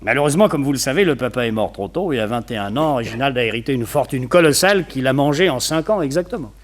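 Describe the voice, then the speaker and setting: An actor playing a well-connected, opinionated society gentleman in a French radio detective play, talkative, confiding and wryly gossipy. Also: medium pitch, animated, quick pace, lightly acted. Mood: confiding, briefing.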